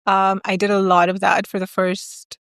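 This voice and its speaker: A young woman guesting on a podcast, telling candid stories in a lively, chatty way, full of "like" and "um". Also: mid-range voice, moderate pace, clear, resonant tone, no echo, studio quality. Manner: candid, animated storyteller, lots of "like" and "um". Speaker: guest, agency founder. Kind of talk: casual interview chat.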